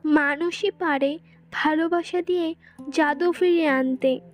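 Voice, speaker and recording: A woman's voice: high-pitched, fairly even intonation, a little slow, no echo. A woman voicing earnest young girls and fairies of a magic forest in a cartoon fairy tale. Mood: earnest, pleading. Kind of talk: animated fairy-tale dialogue.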